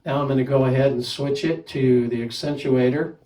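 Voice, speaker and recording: A man's voice: medium pitch, steady tone, fairly quick, warm tone, echoey room, recorded from a distance. A man casually reviewing a microphone, talking plainly and counting off mic tests. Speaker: gear reviewer. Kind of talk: casual product demo with mic checks.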